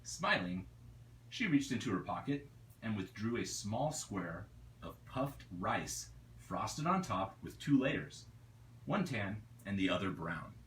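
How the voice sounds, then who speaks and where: A man reading his own novel aloud as a storyteller, in a deliberate, expressive narrating style. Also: fairly low pitch. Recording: roomy echo, distant mic, faint noise.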